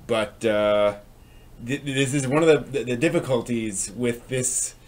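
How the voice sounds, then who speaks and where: A man hosting a live stream in a casual, chatty way, with a halting, hesitant delivery that tends to trail off. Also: mid-range voice.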